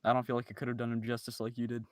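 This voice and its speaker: A man's voice: medium pitch, monotone, racing, no room sound, studio quality. A man chatting casually as a member of a group podcast.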